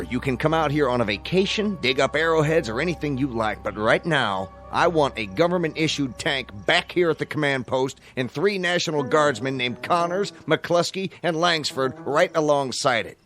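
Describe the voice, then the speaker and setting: An actor playing a commanding army captain in a radio drama, authoritative, clipped and sardonic. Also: male voice, medium pitch, fairly bright tone, close to an everyday voice. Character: stern, exasperated, commanding male National Guard captain. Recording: music bed under radio drama dialogue.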